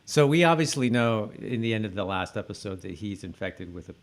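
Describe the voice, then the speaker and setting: A man's voice: on the low side, lively intonation. A man co-hosting a podcast in casual chat, rambling and often correcting himself mid-sentence. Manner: rambling, halting, self-correcting. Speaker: podcast co-host. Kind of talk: casual chat about a TV episode.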